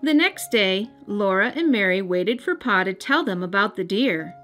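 An American woman reading a storybook aloud for young children in a gentle, careful storytelling manner. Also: mid-range voice, expressive, normal speed. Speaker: children's storybook reader and host. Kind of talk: scripted read-aloud narration. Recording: music under the voice.

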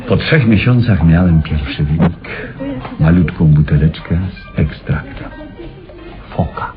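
A low-voiced eccentric inventor in a radio drama. His delivery swings widely in pitch as he tells of his obsession with theatrical pauses. The old recording is dull, with faint music. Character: eccentric, obsessive male inventor recounting his fur experiment. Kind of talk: Polish radio play acting.

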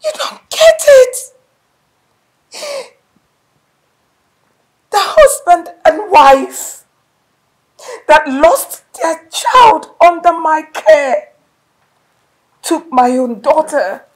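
An actress playing a distraught mother who swings between tearful whispers and anguished shouting. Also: sits high, very animated, normal speed, vocal fry, few pauses, heavily acted, poor audio. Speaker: mother whose daughter was taken. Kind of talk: film drama acting.

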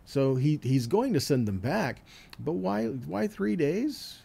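A man's voice: a little high, big pitch swings, slow pace, creaky, dark tone, breathy edge. A man giving an informal Bible teaching, talking conversationally and often checking in with rhetorical questions. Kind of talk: informal teaching sermon with scripture readings.

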